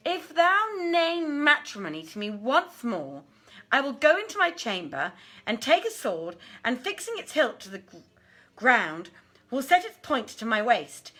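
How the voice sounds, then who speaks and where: A woman's voice: on the high side, sharp tone, pitched above the performer's own voice, heavily acted. A woman voicing a passionate, defiant young princess in a storybook, with vehement, declamatory delivery.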